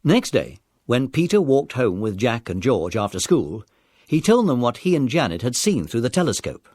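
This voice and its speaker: A man's voice: mid-range voice, very animated, normal speed, a little mellow, no room sound, studio quality. A man narrating a scripted children's audiobook story.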